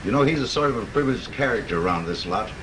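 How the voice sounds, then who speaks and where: A plainspoken studio electrician, played by an actor, explaining in a matter-of-fact way. His pitch moves lively up and down. The old film soundtrack hisses clearly. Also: fast talker, lightly acted. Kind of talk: 1930s film acting. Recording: hissy 1930s film soundtrack.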